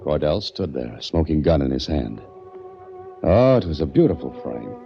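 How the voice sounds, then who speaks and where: Deep-voiced hard-boiled radio hero. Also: male voice, big pitch swings, moderate pace, gravelly, loud music behind the voice, full character voice. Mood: tense narrating. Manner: terse, hard-boiled, urgent in dialogue. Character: tough wry hero, a framed man on the run. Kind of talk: 1940s radio mystery acting and first-person narration. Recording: dull vintage 1940s radio recording.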